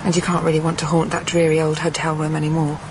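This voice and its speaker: A woman playing a composed former lover breaking hard news plainly and gently in an audio drama. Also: sits low, monotone, quick pace, natural voice. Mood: gentle, firm. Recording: clear background noise, ambience.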